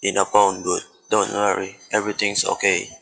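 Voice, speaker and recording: A man playing a cold-blooded, matter-of-fact hired killer in a radio thriller, businesslike and flatly reassuring. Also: deep voice, moderate pace, gravelly, full character voice, rough recording. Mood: cool, businesslike.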